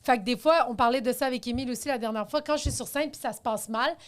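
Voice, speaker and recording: Une jeune humoriste de Montréal, coanimatrice d'un balado, qui raconte avec expressivité et rit facilement. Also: female voice, a little high, fast. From Montreal, Quebec. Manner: expressive, laughs easily, exaggerates voices for effect. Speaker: podcast co-host, comedian. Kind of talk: casual podcast chat.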